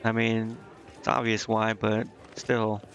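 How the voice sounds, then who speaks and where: A man's voice: fairly low pitch, monotone, slow, fairly bright tone, muffled recording. A man doing casual video game commentary as a streamer, thinking aloud in a rambling, hesitant way. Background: game music.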